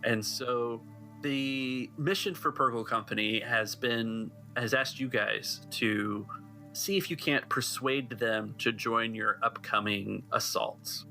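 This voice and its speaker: A man's voice: medium pitch, takes it slowly, pushed and bright, soft background music, poor audio. A man working as game master, narrating a tabletop game in a conversational, improvised way. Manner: conversational, improvised scene-setting.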